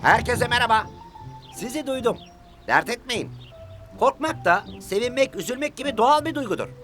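A man's voice: very high-pitched, animated, normal speed, a bit bright, soft background music. A man voicing a kindly, reassuring helper in a preschool cartoon, explaining things in an encouraging way.

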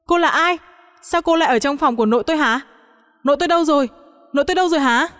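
A woman's voice: high voice, fast, fairly bright tone, pitched above the performer's own voice. A woman voicing a hot-headed, suspicious young grandson, urgent and accusing. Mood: alarmed accusing. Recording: long hall-like reverb on the voice.

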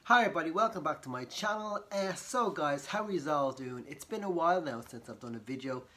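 A man talks casually to camera from his sofa. His voice is croaky from a cold, and he rambles, circling back with fillers and restarts. The sound is close and homely, as if recorded in a living room. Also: fairly high pitch, animated, quick pace, over loud music. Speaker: vlogger.

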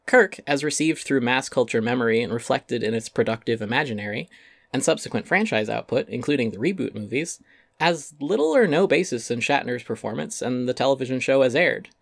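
A man's voice: mid-range voice, animated, a little fast, creaky, no room sound. Man reading an essay, dry close sound. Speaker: essay narrator. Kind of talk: dramatic reading of an essay, scripted narration.

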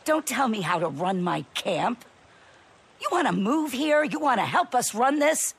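Game role: hard-bitten older female camp boss, very husky, creaky, blunt and commanding. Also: fairly high pitch, very animated, measured pace, heavily acted. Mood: curt, commanding. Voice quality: raspy, gravelly. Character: tough, hard-bitten older woman running a survivor camp. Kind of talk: naturalistic video game acting.

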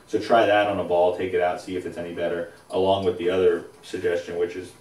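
A man in his thirties co-hosting a bowling advice show, explaining in a relaxed way with fillers in casual chat. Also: low-pitched, fast. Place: indoors, small studio against a plain backdrop. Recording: roomy echo, voice a bit far from mic.

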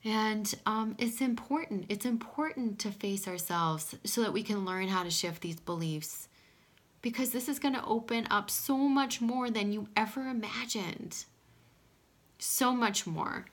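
A woman giving a casual oracle card reading in a small room at home, her voice a little scratchy from pollen, soft-spoken and sometimes dropping to a whisper. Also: medium pitch, unhurried. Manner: soft-spoken, drifting into whispers, frequent "okay?". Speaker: spiritual card reader.

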